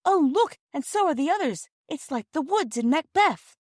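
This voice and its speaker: An excitable storybook girl, acted by a woman reader, calls out high and urgently. Her voice is alert and exclamatory. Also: moderate pace, no room sound, clean studio sound. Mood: amazed. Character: alert, excitable older sister, young girl.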